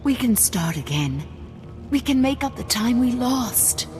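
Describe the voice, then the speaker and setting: A woman's voice: on the high side, very animated, measured pace, in a large hall, over music. A woman playing a passionate middle-aged woman in a video game, earnest and imploring with her long-lost love. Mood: tender. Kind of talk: dramatic video game acting.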